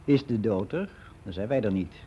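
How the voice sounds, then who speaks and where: Male actor as candid ageing man, very mellow voice, matter-of-fact musing, radio play monologue, noisy old recording. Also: on the low side, animated, moderate pace, close to an everyday voice. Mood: sober, reflective. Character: candid, reflective ageing man, worldly and unbelieving. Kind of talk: radio drama monologue, conversational confiding.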